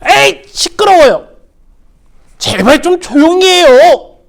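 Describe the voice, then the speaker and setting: Loud, impatient bystanders in a storybook telling, pitched well above an everyday voice, as a woman puts them on. They call out with raised voices. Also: very animated, a little slow, full character voice.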